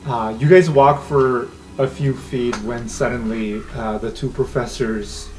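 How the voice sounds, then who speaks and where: A man runs a tabletop game in a medium-pitched voice. His narration is casual and improvised, with soft music underneath. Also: steady tone, a little slow, poor audio. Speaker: game master.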